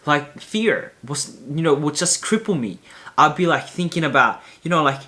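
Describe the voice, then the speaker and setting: High-voiced young man rambling to camera. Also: expressive, normal speed, bright, forceful tone. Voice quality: high-pitched voice. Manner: rambling, many fillers and restarts, candid. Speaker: vlogger. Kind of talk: casual talk to camera.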